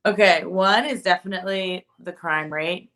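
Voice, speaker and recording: A woman's voice: fairly low pitch, normal speed, fairly bright tone. A young woman from Japan, a guest singer-songwriter, chatting casually over a phone video call and sprinkling her talk with "like" and laughs. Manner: laughs often, many "like" fillers. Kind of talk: casual interview chat.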